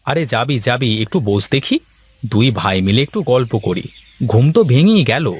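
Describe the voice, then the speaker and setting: A man acting a grumbling village fisherman in a cartoon tale. He is folksy and theatrical, a put-upon husband with a drawn-out, complaining manner and a medium-pitched voice. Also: big pitch swings, breathy edge. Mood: weary, friendly. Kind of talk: Bengali cartoon story acting.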